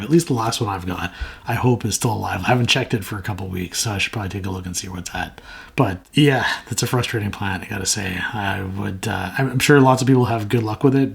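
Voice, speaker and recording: A man's voice: medium pitch, creaky, husky. A man with a sore throat, chatting casually and frankly to camera as the host of a plant channel. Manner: rambling, frank, self-mocking.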